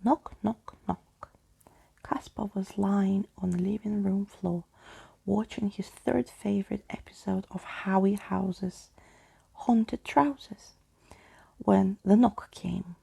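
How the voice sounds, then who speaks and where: A woman reading a story aloud in a soft, hushed ASMR style, with a breathy, crackly voice. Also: mid-range voice, fairly even intonation, slow pace. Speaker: storyteller, ASMR video host.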